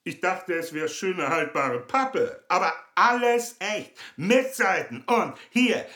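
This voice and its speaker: An actor playing an excitable drunken man in a German radio drama, rambling in emphatic, clipped exclamations. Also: high voice, takes it slowly, exaggerated voice. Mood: amazed, excited.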